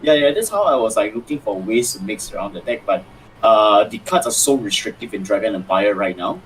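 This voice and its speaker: A man talking casually as a panelist on a card game stream, animated and quick to laugh, with many restarts. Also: fairly low pitch, a little hiss or hum, poor audio. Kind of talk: casual chat.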